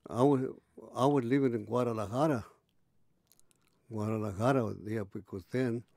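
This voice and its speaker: Elderly man in his eighties, gravelly and mellow, halting storyteller. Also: mid-range voice, no echo, clean studio sound. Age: 80s. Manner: halting, many restarts and pauses. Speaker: interviewee. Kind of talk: oral history recollection.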